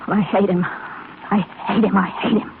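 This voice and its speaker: An actress playing a gracious, emotional widow in a 1930s radio drama. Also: female voice, medium pitch, steady tone, normal speed, creaky, natural voice. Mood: bitter, grieving. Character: grieving, genteel widow, emotional but gracious. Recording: scratchy, tinny 1930s radio broadcast recording.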